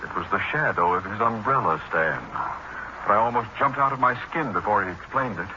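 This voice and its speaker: Man playing a pompous, jittery, low-voiced school principal. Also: animated, brisk, natural voice. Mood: nervous, grumbling. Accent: American. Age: middle-aged. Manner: pompous, formal, exasperated. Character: pompous, jittery, irritable middle-aged male school principal. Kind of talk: 1940s radio comedy acting. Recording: noisy, muffled 1940s radio broadcast recording.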